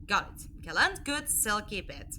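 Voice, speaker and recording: A woman's voice: medium pitch, very animated, a little slow, bright, forceful tone, slight background noise, exaggerated voice. A woman playing a playful, impulsive young companion in a sci-fi audio drama, sassy and full of questions. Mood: sassy, curious.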